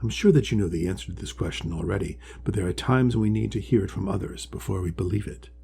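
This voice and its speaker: A man voicing a huge, ancient, wise male sea creature from a storybook, friendly and measured, with a deep voice. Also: creaky, dark tone, slight background noise. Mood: kindly, encouraging. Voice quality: deep, resonant. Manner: slow, measured, kindly pronouncements. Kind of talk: storybook character voice.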